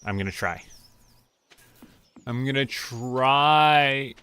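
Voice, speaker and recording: A man from Canada streaming a farming game, talking to himself in a relaxed, muttering way as he plays. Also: mid-range voice, very slow, clean tone. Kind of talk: casual gameplay commentary. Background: game music.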